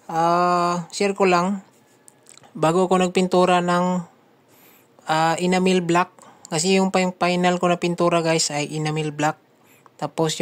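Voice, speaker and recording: Woman, Filipino accent, lowish voice, casual tutorial narration, conversational, addresses viewers directly. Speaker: DIY speaker-box builder and vlog host. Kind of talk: casual how-to voice-over narration.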